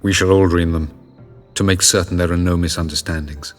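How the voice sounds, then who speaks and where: A man playing a grave, calculating elven sage in a fantasy video game, speaking in a measured, formal way. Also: low voice, fairly even intonation, soft background music, natural voice. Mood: solemn. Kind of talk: cinematic fantasy game acting.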